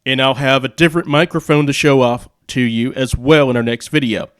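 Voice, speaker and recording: A man's voice: medium pitch, steady tone, a little fast, smooth. An American man explaining things casually and off the cuff, with rambling, conversational asides. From United States. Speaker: video host, radio hobbyist.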